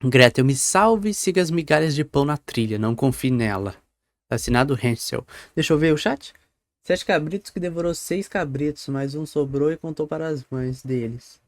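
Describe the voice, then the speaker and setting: Higher-voiced Brazilian man, blunt and slangy. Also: moderate pace, no echo. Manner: blunt, slangy, repeats his phrases. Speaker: streamer reacting to a video. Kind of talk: casual live reaction with slang and swearing.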